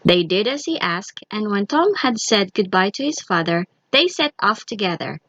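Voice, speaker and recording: A woman's voice: medium pitch, sing-song, normal speed, a bit bright, no echo, muffled recording. A woman storyteller narrating a scripted children's story.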